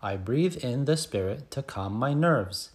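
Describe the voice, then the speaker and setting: Man reading as an excited schoolgirl, slow and expressive. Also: medium pitch, lightly acted. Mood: eager, upbeat. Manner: expressive, emphatic sound-effect words. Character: excited little schoolgirl telling her first day. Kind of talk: picture book read-aloud.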